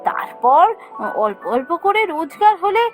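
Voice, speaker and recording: Woman playing a high-voiced gentle young wife. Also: sing-song, moderate pace, over music. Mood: encouraging. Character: young newlywed wife, gentle, practical, encouraging. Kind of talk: Bengali cartoon story dialogue.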